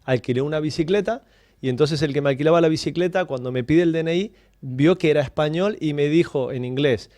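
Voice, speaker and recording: A man's voice: a little high, a little fast, a little mellow, no echo, clean studio sound. A Spanish-born man on a radio talk panel, explaining time management through stories and questions. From Spain. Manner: explanatory, storytelling, builds points with questions. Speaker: guest coach on time management. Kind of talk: radio talk-show discussion.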